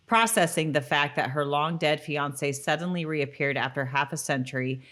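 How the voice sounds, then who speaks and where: A woman's voice: sits low, fairly bright tone. A woman co-hosting a casual rewatch podcast, chatting and reading out recaps in an easygoing, giggly way. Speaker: podcast co-host.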